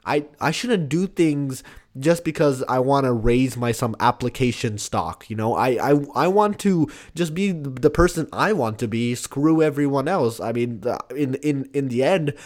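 A man's voice: medium pitch, lively intonation, measured pace, darkish tone. Casual young man, full of fillers. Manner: casual, lots of fillers like "like" and "you know". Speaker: student applying to college. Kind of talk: casual conversation.

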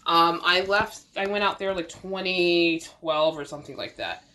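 A woman's voice: on the low side, normal speed, sharp tone, low-quality recording. A woman from New Jersey, a guest on a podcast, talking frankly in casual conversation.

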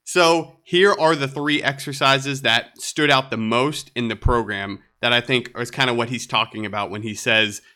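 A man's voice: medium pitch, normal speed, few pauses, bright, forceful tone. A man hosting a solo podcast, sounding nasal and congested, upbeat and chatty with light laughs. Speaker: podcast host.

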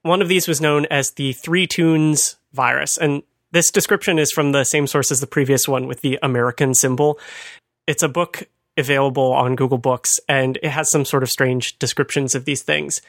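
A man's voice: medium pitch, fairly even intonation, moderate pace, a bit bright, dry recording. A man co-hosting a conversational talk, explaining things with dry amusement. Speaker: podcast host. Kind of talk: conversational retro computing commentary.

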